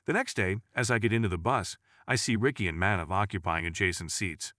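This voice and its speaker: A man's voice: on the low side, a little fast, pushed and bright, no echo, clean studio sound. A man narrating a scripted first-person crime story in a dramatic storytelling manner. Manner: dramatic storytelling, acts out dialogue.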